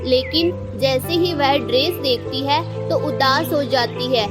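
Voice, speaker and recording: A woman's voice: sits high, measured pace, loud music behind the voice. A woman narrator reading a scripted children's story.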